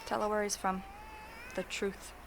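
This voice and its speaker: Creaky-voiced, blunt fugitive. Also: female voice, medium pitch, monotone, unhurried, noisy background. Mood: blunt, firm. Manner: blunt, clipped. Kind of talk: fantasy audio drama acting.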